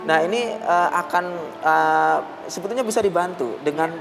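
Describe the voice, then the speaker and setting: A man speaking as a psychology guest expert on a talk show, explaining in a measured way with pauses and hesitations. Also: high voice, vocal fry, over music.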